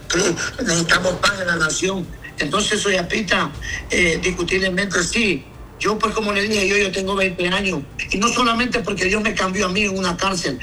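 A pastor with a high, strikingly bright voice, speaking in an interview. He talks emphatically and keeps checking that he's understood. A faint hiss of noise sits under the recording. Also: poor audio. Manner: emphatic, repeats "me entiende". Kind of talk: interview answers.

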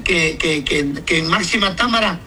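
High, bright-voiced pastor. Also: male voice, lively intonation, moderate pace. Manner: emphatic, repeats "me entiende". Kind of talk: interview answers.

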